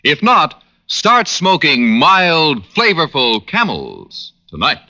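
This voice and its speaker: A man's voice: on the high side, huge pitch swings, slow pace, noisy background. A man reading a 1950s radio commercial as an announcer, with an emphatic sales delivery. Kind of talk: 1950s radio advertising read.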